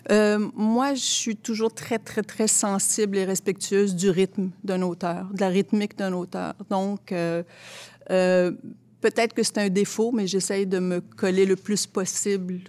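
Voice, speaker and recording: A woman with a Quebec French accent giving reflective, conversational interview answers, expressive and often searching for her words. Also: medium pitch, unhurried. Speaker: interview guest, theatre translator.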